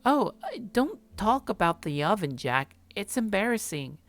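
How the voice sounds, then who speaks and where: Man voicing a timid, high-pitched anxious young woman. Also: big pitch swings, normal speed, a bit bright, full character voice. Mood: embarrassed. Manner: hesitant, stammering.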